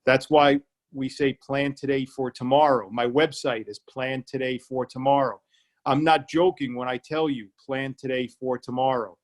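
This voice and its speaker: A man's voice: mid-range voice, steady tone, normal speed. A man with an Irish accent, an elder law attorney giving a seminar talk, plain-spoken and repeating key points so listeners remember them. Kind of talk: public library seminar talk. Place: remote online presentation.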